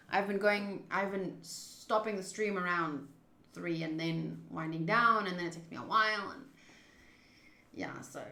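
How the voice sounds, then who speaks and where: A woman streaming a visual novel, switching between measured reading aloud and loud, casual reactions. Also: medium pitch, roomy sound. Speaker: game streamer.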